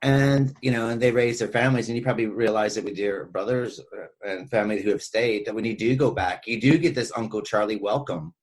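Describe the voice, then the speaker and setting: A man's voice: on the low side, fairly quick, breathy edge, poor audio. A man in a casual, rambling film discussion as a podcast co-host, often leaning on "you know". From northern New York State, United States.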